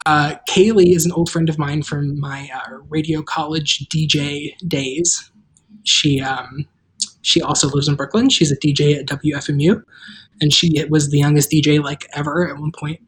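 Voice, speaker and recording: A livestream host and audio drama creator chatting casually with the cast and viewers in a wry, deadpan way. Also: mid-range voice, normal speed, slight background noise, poor audio.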